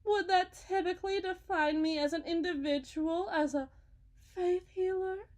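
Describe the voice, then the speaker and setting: A woman playing a folksy, grandiose con-artist faith healer in a scripted roleplay, with theatrical sales patter and dramatic pauses. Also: very high-pitched, steady tone. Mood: smug, preachy. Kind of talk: scripted ASMR roleplay monologue.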